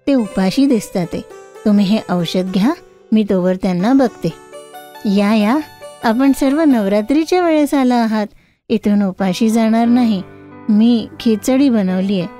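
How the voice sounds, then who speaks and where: A woman's voice: a little high, mellow. A woman voicing a humble, devout poor mother in a children's moral tale, earnest and courteous.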